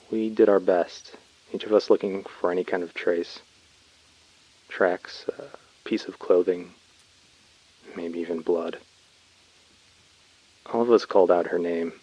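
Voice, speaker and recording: A man's voice: low voice, a little mellow, husky, lo-fi sound. A man playing a weary, uneasy colonist recording a subdued, brooding field message in a sci-fi audio drama. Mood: weary, grim.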